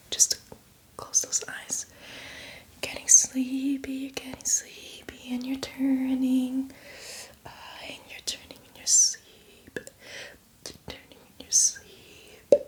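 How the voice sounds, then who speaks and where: A woman's voice: high-pitched, completely flat delivery, takes it slowly. Woman as a whispering, eager zombie mentor. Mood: hypnotic. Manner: breathy, coaxing whisper with playful asides. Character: hardcore female zombie, eager, teasing, soothing mentor. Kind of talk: whispered ASMR roleplay.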